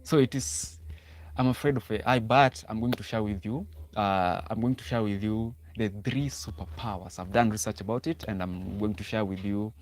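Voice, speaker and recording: A man's voice: lowish voice, moderate pace. A young Kenyan man hosting a casual livestream, often repeating words and restarting sentences as he talks to viewers. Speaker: livestream host.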